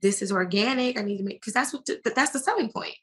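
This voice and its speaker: A woman's voice: mid-range voice, quick pace. An American woman talking casually as an interview guest, with an emphatic, slangy way of speaking. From United States.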